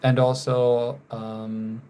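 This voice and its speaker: Young male streamer from North Carolina, unhurried, laid-back chat, drifts quieter at the ends of phrases, apologetic. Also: medium pitch, little pitch movement, clear, resonant tone. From North Carolina, USA. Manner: slow, trailing off quietly, apologetic about names. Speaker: live streamer. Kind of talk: casual live stream chat while drawing.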